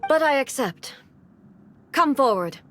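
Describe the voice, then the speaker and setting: A woman's voice: high voice, lively intonation, takes it slowly, fairly bright tone, faint music behind, heavily acted. A woman playing an imperious ruler in a video game, speaking formally with cool, blunt command. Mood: solemn, stern. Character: almighty Shogun, imperious woman ruler and duelist.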